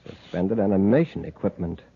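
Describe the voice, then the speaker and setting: An actor playing a stern, decisive space patrol commander in 1950s radio serial style, clipped and authoritative. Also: male voice, low voice, lively intonation, a little fast, slightly rough, exaggerated voice. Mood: brisk, purposeful. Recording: muffled, noisy 1950s radio recording.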